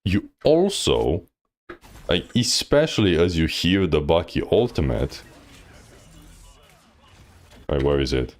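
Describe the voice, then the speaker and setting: A man's voice: extremely low pitch, very animated, slow pace, pauses often. A man coaching on a live stream, reviewing gameplay bluntly with lots of fillers. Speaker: game coach.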